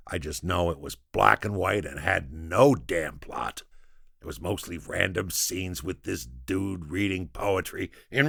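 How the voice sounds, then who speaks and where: An actor playing a weathered, foul-mouthed older former labourer, reminiscing wryly in a gravelly voice in an audio drama monologue. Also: male voice, deep voice, bright, forceful tone, no echo, heavily acted. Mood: sardonic grumbling. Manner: conversational, hesitant pauses, dry chuckles, swearing.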